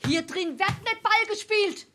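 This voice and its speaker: A woman playing a brisk, scolding tavern waitress in a Darmstadt Hessian dialect stage comedy. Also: very high-pitched, noisy background.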